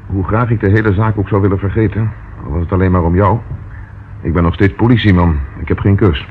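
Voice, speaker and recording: An actor in a Dutch radio play playing a calm, protective police inspector, measured and firm. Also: male voice, sits low, close to an everyday voice. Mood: gentle, earnest. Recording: dull old radio recording with noise.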